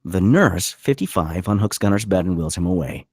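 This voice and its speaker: Low, husky man narrating a screenplay. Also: expressive, moderate pace, a little mellow, dry recording, studio quality. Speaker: stage-direction reader. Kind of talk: scripted narration at a screenplay table read.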